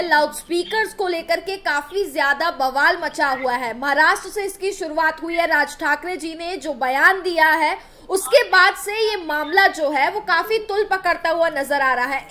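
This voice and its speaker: Lady tv anchor, really high bright voice, running a live news debate. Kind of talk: live TV panel debate hosting.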